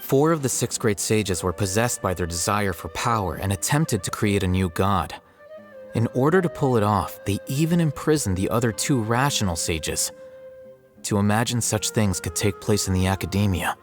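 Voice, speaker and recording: A man voicing an aloof, dry academy scribe in a video game, with formal, deadpan delivery. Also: on the low side, normal speed, faint music behind, close to an everyday voice. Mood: dry, explanatory. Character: aloof, unambitious male academy scribe, matter-of-fact and dry. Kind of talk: scripted video game dialogue.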